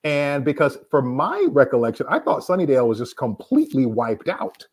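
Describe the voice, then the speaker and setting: A man's voice: mid-range voice, wildly swooping pitch, a little fast. A man speaking as a panelist in a casual discussion, repeating words as he searches for phrasing.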